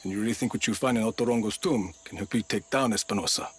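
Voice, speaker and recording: A man's voice: lowish voice, quick pace, slightly rough. An actor playing a terse, dry male action hero in a game cutscene. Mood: laconic. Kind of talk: video game cutscene voice acting.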